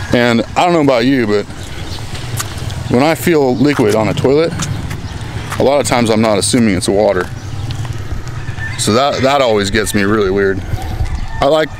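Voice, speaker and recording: An American man in his thirties talking to a handheld camera in a town plaza, rambling and joking in a casual vlog. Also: mid-range voice. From United States. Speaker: vlogger. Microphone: handheld camera at arm's length. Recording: outdoor recording with clear street noise.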